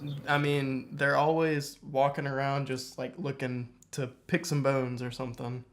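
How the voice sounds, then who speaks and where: A man co-hosting a casual podcast, joking in a deadpan way. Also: mid-range voice, moderate pace, a little hiss or hum. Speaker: podcast co-host.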